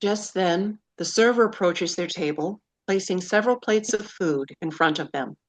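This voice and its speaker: A woman with a slightly low voice narrates a screenplay. She reads out the stage directions in a scripted table-read style. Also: moderate pace, rough recording.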